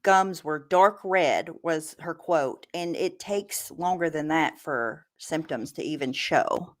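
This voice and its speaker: A woman's voice: low voice, takes it slowly. A woman on a talk panel, chatting informally in a blunt, scornful manner. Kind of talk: casual gossip chat.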